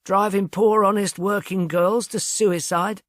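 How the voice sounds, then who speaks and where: A man's voice: sits high, fairly even intonation, measured pace, a bit bright, dry recording, studio quality, pitched above the performer's own voice. A man voicing an aged, mercurial butler in a storybook, affable and familiar with a grumbling manner. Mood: affable, indignant.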